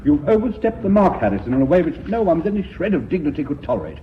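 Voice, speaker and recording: Fast, formal company director. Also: male voice, a little high, sing-song. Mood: indignant. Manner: formal, clipped boardroom speech. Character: indignant, principled male company director, exposed as traitor. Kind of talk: radio drama acting. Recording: muffled phone-like radio recording, noisy.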